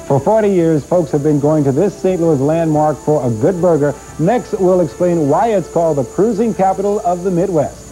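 A man's voice: on the high side, normal speed, hardly any pauses, dark tone, faint music behind, low-quality recording. A man with an American accent reading scripted local news as an anchor, on a hissy nineties VHS recording.

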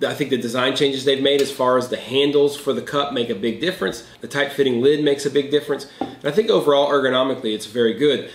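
A man, reviewing outdoor gear to camera in a plain, earnest way. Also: mid-range voice. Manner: earnest, plain explaining with hand gestures. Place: indoor gear room with shelves. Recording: echoey room.

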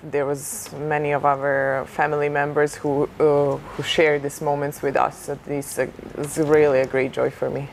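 A woman's voice: low-pitched, flat delivery, normal speed, few pauses. Young Serbian woman with arena noise. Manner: thoughtful, uses many fillers like "like" and "uh". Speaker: basketball player, interviewee. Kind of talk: casual interview. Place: large indoor basketball arena. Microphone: lapel mic.

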